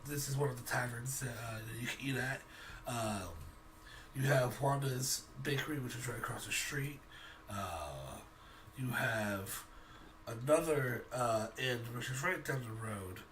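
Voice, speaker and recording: A man's voice: medium pitch, a little slow, a bit bright, reverberant, far from the mic, slight background noise, natural voice. A man playing an obliging inn concierge in a tabletop game, helpful and hesitant.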